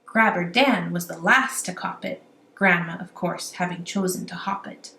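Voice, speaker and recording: A woman reading a rhyming children's story aloud in an animated, amateur storytelling style. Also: fairly low pitch, moderate pace. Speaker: amateur children's book reader. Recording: roomy echo, faint background noise.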